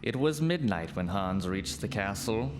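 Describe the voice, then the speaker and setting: Deep, slow-paced male storyteller. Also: expressive. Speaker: narrator. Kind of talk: scripted spooky storytelling for radio play. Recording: faint background noise.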